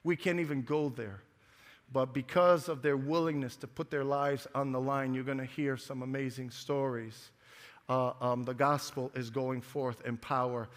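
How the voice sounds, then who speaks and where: A man's voice: mid-range voice, fairly even intonation, moderate pace. A man, a pastor, introducing guest speakers to his congregation in a conversational preaching manner. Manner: conversational, calls for congregation response. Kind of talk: sermon introduction. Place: church sanctuary. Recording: echoey church hall.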